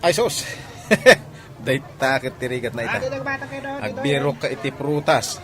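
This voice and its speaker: A man's voice: mid-range voice, lively intonation, measured pace, close to an everyday voice. A man with an Ilocano Filipino accent playing a teasing, blunt friend in a radio drama. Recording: crowd noise of an outdoor market.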